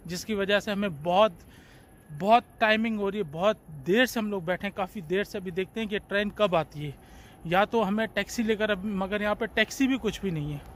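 A man in his forties vlogging to his phone camera on an outdoor train platform at night, in a chatty, relaxed way. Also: high-pitched, brisk. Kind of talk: casual vlog narration. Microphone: phone at arm's length. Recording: faint outdoor background noise.

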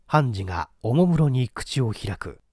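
A man narrating a literary mystery story from a script, with deliberate, dramatic storytelling delivery. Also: mid-range voice, sing-song, darkish tone, husky, dry recording, studio quality. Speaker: audiobook narrator, stage actor.

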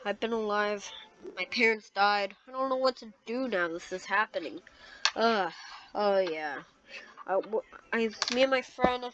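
An excitable gamer improvising a casual, dramatic video game roleplay. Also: mid-range voice. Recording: dull lo-fi mic with clear background noise.

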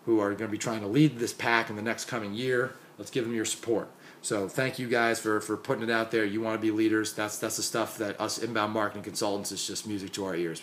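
Hoarse young male consultant, tired, casual chatty shout-outs, lowish voice, faint background hiss. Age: young adult. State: hoarse and exhausted. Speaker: marketing consultant. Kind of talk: casual conference recap vlog. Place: small dim room indoors.

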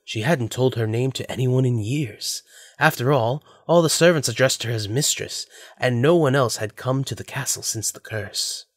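A man's voice: mid-range voice, normal speed, breathy edge, no room sound, studio quality. A man narrating a scripted audio drama as its storyteller.

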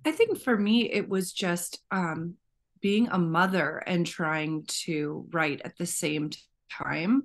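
A woman's voice: on the low side, slow pace. A woman in her thirties, an author on a panel, talking earnestly and with energy over a video call from home. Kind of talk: casual panel talk. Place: home room.

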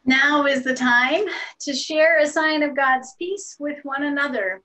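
A middle-aged woman pastor leading an online worship service from a small room at home, speaking gently and encouragingly over a video call. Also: high voice, a little slow.

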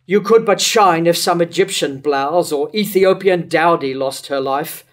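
An older man playing a grief-stricken, remorseful tyrant king in a staged reading of verse tragedy, declaiming in measured lines. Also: fairly high pitch, natural voice. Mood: remorseful despair. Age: older, around 70. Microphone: lectern microphone.

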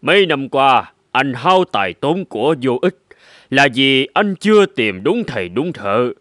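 Lofty, cunning old master. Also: male voice, mid-range voice, big pitch swings, a bit bright, no room sound, studio quality. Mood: sly persuasion. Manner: measured, lofty, persuasive. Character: cunning, haughty old gold-making master. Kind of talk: animated folk-tale dubbing. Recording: music bed under the voice.

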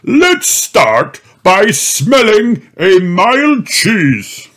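A man voicing a proud, blustery old grandfather rabbit from a storybook, loud and drawn-out. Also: very animated, full character voice. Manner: booming, drawn-out.

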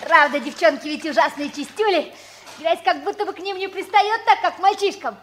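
A woman's voice: very high voice, fairly quick, a little hiss or hum. An actress playing an earnest, hopeful nine-year-old orphan boy in a radio play, childlike and wistful. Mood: indignant, eager. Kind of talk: 1960s Soviet radio play acting.

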